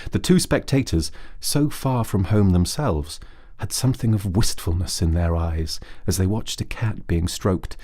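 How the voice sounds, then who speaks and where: A man narrating a children's bedtime story as a storyteller, reading aloud in a measured, literary way. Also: low-pitched, warm tone.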